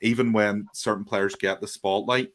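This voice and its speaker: A man's voice: lowish voice, fairly even intonation, normal speed, fairly bright tone. A man with a Northern Irish accent giving his view as a guest on a casual podcast chat, earnest and full of restarts. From Northern Ireland. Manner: earnest, hesitant with many restarts.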